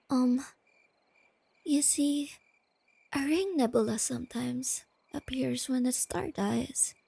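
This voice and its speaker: Female actor as timid, bookish young girlfriend for ASMR roleplay; soft and affectionate, creaky edges, close dry sound. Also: a little high, dry recording, exaggerated voice. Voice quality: soft, breathy, often whispery. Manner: hesitant, tender, halting. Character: shy, nerdy, self-doubting young girlfriend, gentle and affectionate.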